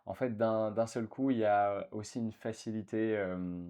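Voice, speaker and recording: Man, fairly low voice, from France, interview guest, casual, halting with fillers. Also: fairly even intonation, dark tone, smooth. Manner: hesitant, many fillers and restarts.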